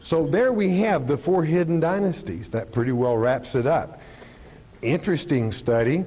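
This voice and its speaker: Older male pastor, mellow voice. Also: a little high, normal speed. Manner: animated, gestures constantly while teaching; poses rhetorical questions and answers them. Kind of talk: televised Bible teaching. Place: studio stage with podium and curtain. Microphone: lapel mic.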